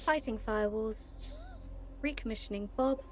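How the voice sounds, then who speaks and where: A woman acting as a defensive female station AI with a high-pitched voice, headstrong and formal. She delivers clipped, machine-like statements in a sci-fi audio drama, with music clearly behind her. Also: normal speed, close to an everyday voice. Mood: defiant. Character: failing female AI overseer, defensive and self-willed. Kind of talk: science fiction audio drama.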